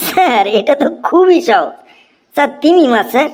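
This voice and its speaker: A woman's voice: high-pitched, big pitch swings, moderate pace, a little mellow, faint music behind. A woman voicing a cheeky, mischievous schoolboy in a cartoon comedy, impudent and mock-polite. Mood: smart-mouthed. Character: cheeky mischievous schoolboy, teasing and smart-mouthed.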